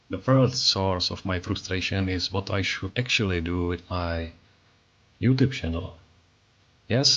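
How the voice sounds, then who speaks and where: A man's voice: deep voice, lo-fi sound. A man with a Czech accent, whose first language is Czech, narrating a scripted voice-over as an artist in an earnest, reflective, self-critical way. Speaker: artist and channel creator.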